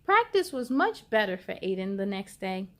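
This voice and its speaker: A woman's voice: medium pitch, sing-song, normal speed, a bit bright, slight background noise. A woman hosting a children's story time, reading aloud expressively and talking encouragingly straight to young listeners.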